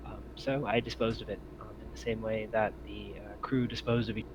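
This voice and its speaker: Man playing an evasive android, muffled and low. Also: fairly even intonation, close to an everyday voice. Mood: calm, evasive. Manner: formal, measured, hesitant phrasing. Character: evasive, impatient male android aboard a derelict spaceship. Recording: muffled lo-fi mic with faint noise.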